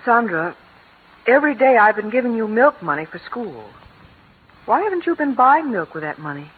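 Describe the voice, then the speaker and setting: A woman's voice: fairly high pitch, sing-song, normal speed, slightly rough. An actress playing a worried, strained stepmother with an earnest, pleading manner, in 1940s radio acting. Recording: tinny old radio broadcast with faint hiss.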